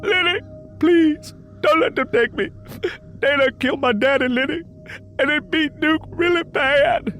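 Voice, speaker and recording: Guy doing a terrified southern girl in an audio drama, shrill and wailing, begging for help, music under it. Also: unhurried, fairly bright tone, heavily acted. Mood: tearful pleading. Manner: breathless, pleading, wailing. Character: terrified, beaten young woman screaming for help.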